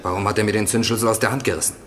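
A man plays a defensive accused driver in a radio crime play. He speaks quickly in a fairly low voice, evasive, agitated and cornered. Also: fairly even intonation, fairly bright tone, lightly acted. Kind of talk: German radio crime drama acting.

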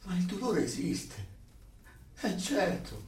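Tormented prisoner, distant and roomy. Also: male voice, high voice, very animated, takes it slowly, darkish tone, heavily acted. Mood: subdued, stubborn. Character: tormented male prisoner under torture, stubborn and anguished. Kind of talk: theatrical Italian radio play acting. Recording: roomy, distant, noisy with background ambience.

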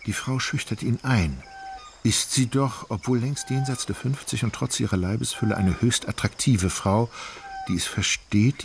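Man, low voice, scripted radio drama narration, formal, measured, wry, clear background ambience. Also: slight background noise.

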